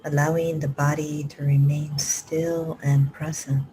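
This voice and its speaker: A woman guides a meditation in a low, even voice, her slow phrases often sinking into a whisper. Her tone is mellow and unhurried. A faint hiss sits under the recording. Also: poor audio. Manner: slow soft-spoken instructions, drifting into whispers. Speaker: meditation teacher. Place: live group session.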